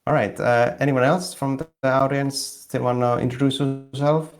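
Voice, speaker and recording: A man's voice: medium pitch, steady tone, moderate pace. A man with a Finnish accent hosting a community voice call, chatting loosely with lots of "like". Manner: loose, filler-heavy chat with lots of "like". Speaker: AMA host. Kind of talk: casual moderating.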